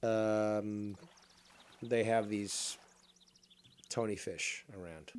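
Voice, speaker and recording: A man's voice: lowish voice, steady tone, very slow, darkish tone, a little hiss or hum. A man livestreaming a game with rambling live commentary, theatrical and mock-villainous, crude and full of swearing. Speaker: livestreamer playing a fishing game.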